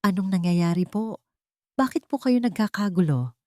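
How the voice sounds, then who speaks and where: A young wife in a story drama, secretive yet sweetly polite, played by a woman reader. Her pitch is lively and the sound is dry. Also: normal speed, dry recording, close to an everyday voice. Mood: feigned concern. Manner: polite, outwardly caring.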